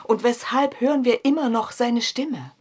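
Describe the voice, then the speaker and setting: An actress playing a middle-aged, anguished yet hopeful mother of a vanished son, speaking gravely and formally in a horror audio drama. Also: fairly high pitch, normal speed.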